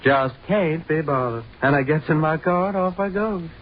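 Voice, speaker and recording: An actor playing a snobbish young rich fraternity president in an old radio drama, with a drawling, dismissive, condescending manner. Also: male voice, fairly high pitch, moderate pace, natural voice. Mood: boastful. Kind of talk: 1950s American radio drama acting. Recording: muffled, hissy old radio recording.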